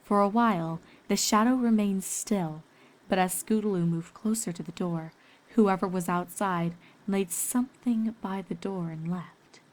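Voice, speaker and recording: A woman's voice: medium pitch, a little slow. A woman reading scripted story narration as a fan fiction reader.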